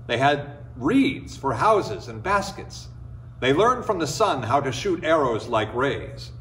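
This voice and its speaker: A man reading a folktale as a storyteller, with expressive, dramatic and measured phrasing. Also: a little high, recorded from a distance, a little hiss or hum. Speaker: storyteller, reader. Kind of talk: expressive scripted folktale narration.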